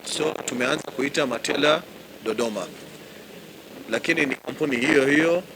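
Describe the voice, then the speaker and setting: A middle-aged man from Tanzania, a company managing director explaining his business in a measured way and switching between languages. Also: mid-range voice, vocal fry. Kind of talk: business presentation. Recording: noisy on-site recording.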